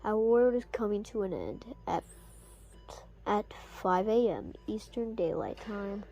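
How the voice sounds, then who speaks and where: A woman playing a solemn, resigned emergency alert announcer, with a halting, scripted delivery. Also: medium pitch, sing-song, warm tone, muffled recording.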